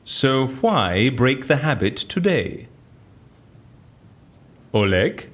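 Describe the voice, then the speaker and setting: An actor playing a flustered, wry, talkative British prisoner in an audio drama, theatrical and full of quaint exclamations. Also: male voice, mid-range voice, very animated, drawn-out words. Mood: probing, exasperated.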